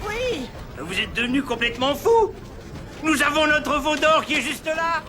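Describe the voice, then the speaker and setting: An actor voicing a defiant male rabble-rouser in an animated Bible film, heckling with theatrical sneers. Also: very high voice, normal speed, full character voice. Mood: scornful, defiant. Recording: loud music and crowd behind. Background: music and crowd.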